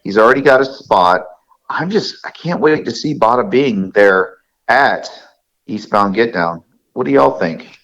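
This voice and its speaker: A man's voice: mid-range voice, lively intonation, a little slow, fairly bright tone, poor audio. A man co-hosting a podcast, chatting casually in a laid-back, agreeable way. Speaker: podcast co-host. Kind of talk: casual chat.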